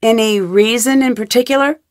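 A young woman detective in a game, polite and curious, presses people with earnest questions, as a woman voices her. Her voice sits a little high. The studio recording is dry and close, with no room sound. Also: normal speed, no echo, natural voice. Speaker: voice actor. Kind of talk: game voice lines. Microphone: studio mic, dry.

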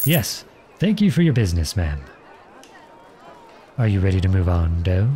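A man playing a charming, gentle, teasing outlawed prince in an ASMR roleplay, courtly, playful and tender in his way of talking. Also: sits low, big pitch swings, normal speed, a little hiss or hum. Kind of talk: ASMR roleplay acting addressed to the listener.

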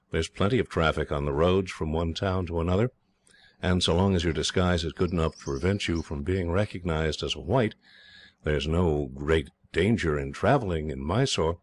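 Deep hearty young officer, cheerful and steady, storybook hero, read by a male narrator.

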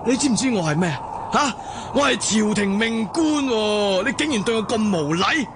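An actor playing a pompous, clumsy army general in a radio drama, bellowing and whining with self-importance. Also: male voice, high voice, lively intonation, normal speed. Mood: indignant mocking. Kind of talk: Cantonese radio drama acting. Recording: clearly noisy radio drama soundtrack.

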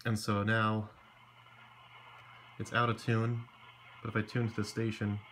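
A man with a fairly low voice presents a hobby project in an informal, unscripted way. He sounds matter-of-fact and talks casually, as in a workbench demonstration recorded indoors. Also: monotone, a little slow, fairly bright tone, slight background noise.

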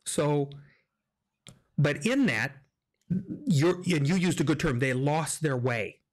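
A man's voice: a little high, lively intonation, slow pace. An American man in his fifties hosting a casual religious discussion, often repeating and rephrasing as he makes his points emphatically. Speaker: discussion host. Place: small indoor home studio, video call. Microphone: desk microphone up close.

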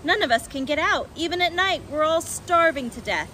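An agitated storybook badger father, played by a woman, high and bright in pitch and tone. He is excitable and desperate, exclaiming and pleading like a worn-out animal at his wits' end. There is some noise behind the voice. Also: moderate pace. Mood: excited, alarmed.

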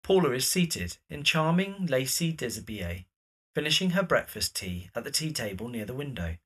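A man's voice: medium pitch, sing-song, moderate pace, fairly bright tone, breathy edge. A man narrating scripted stage directions for an audio drama.

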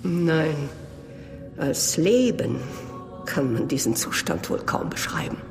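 An actor playing a grave, pious monk in a horror audio drama, speaking solemnly and portentously. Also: male voice, a little high, sing-song, takes it slowly, gravelly, over music, full character voice. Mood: grave, foreboding.

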